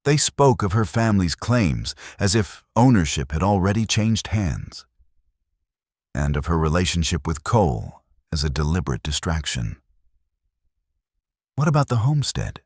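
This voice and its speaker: A man narrating a western romance audiobook in a measured, dramatic way. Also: low-pitched, lively intonation, a little mellow, dry recording, clean studio sound. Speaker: storyteller.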